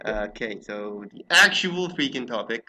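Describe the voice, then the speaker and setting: A man's voice: medium pitch, expressive, measured pace, sharp tone. A man hosting a casual podcast chat, often playfully exasperated with his guests. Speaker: podcast host.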